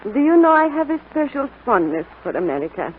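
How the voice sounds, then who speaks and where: A woman playing a kindly, devout French grandmother of seventy-seven in a 1940s radio drama, speaking gently and reflectively. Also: sits high, very animated, a little fast. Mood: warm, reminiscing. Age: older. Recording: noisy, tinny 1940s radio broadcast.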